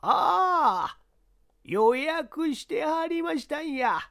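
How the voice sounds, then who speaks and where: A reader voicing an elderly inn woman with a Kyoto accent, polite but evasive, in drawn-out singsong replies for an audiobook. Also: high-pitched, sing-song, gravelly, fairly bright tone, pitched above the performer's own voice, exaggerated voice. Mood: polite, apologetic. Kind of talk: audiobook character acting.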